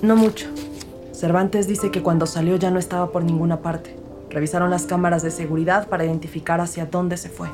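An actress playing an earnest rookie woman detective, narrating her case in a measured, formal way in an audio drama. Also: fairly low pitch, little pitch movement, music in the background, lightly acted. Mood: businesslike. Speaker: narrator and lead character.

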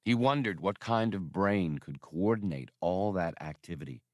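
A man narrates scripted fiction for an audiobook. His voice is fairly low, and the recording is dry, with little room around it. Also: lively intonation, moderate pace, dry recording. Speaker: audiobook reader.

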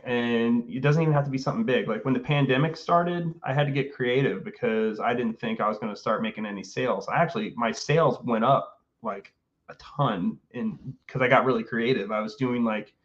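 A forty-year-old man talks loosely and at length, full of ums and restarts. His voice has an ordinary middle pitch. The call audio sounds dull and lo-fi. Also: moderate pace. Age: 40s. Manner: relaxed, rambling with many fillers and restarts. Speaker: guest artist. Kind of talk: casual interview chat.

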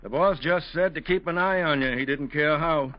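An actor playing a plainclothes police tail in a 1940s radio drama, casual and insinuating with quiet menace. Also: male voice, fairly high pitch, moderate pace, close to an everyday voice. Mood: needling. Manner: easygoing, sly, insinuating. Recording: muffled old radio recording.

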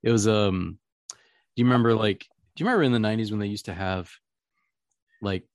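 A man with a fairly low voice chats as a podcast host. His delivery is casual and meandering, with hesitant searching for words. The sound is dry, with little room in it. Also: brisk, dry recording. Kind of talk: casual chat.

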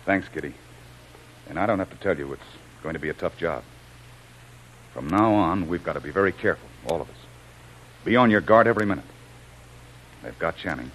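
An actor playing a resolute, commanding adventurer in a vintage radio serial, with firm, declamatory delivery. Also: male voice, deep voice, lively intonation, fairly quick, long pauses, a bit bright, low-quality recording. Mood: cautious, commanding. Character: Jim, resolute heroic adventurer, commanding and brave. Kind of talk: 1930s-40s radio adventure acting. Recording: hissy old radio recording.

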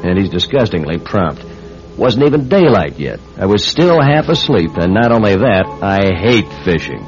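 An actor playing a wisecracking, skeptical bachelor writer in a radio drama, narrating and acting in a sardonic way. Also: male voice, sits low, very animated, normal speed, faint music behind. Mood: wry narration. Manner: wry, sardonic. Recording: dull lo-fi radio drama recording.

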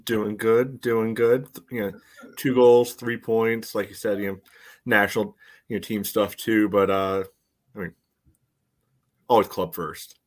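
Male co-host for sports chat, lowish voice, informal and conversational. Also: level tone, measured pace, long pauses.

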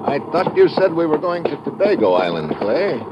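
An actor playing a wry, tough American adventurer in an old-time radio drama, dry and sardonic, on a muffled, noisy vintage recording. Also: male voice, fairly high pitch, big pitch swings, moderate pace. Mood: wary, irritated. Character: wry, tough American undercover adventurer narrating his own exploit. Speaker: lead actor and narrator.

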